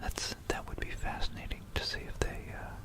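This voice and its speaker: Hushed ASMR whisperer, fully unvoiced. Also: a little fast. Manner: rambling, musing asides. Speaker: ASMR video creator. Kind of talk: whispered ASMR, informal talk mixed with reading aloud. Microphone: close stereo binaural microphone.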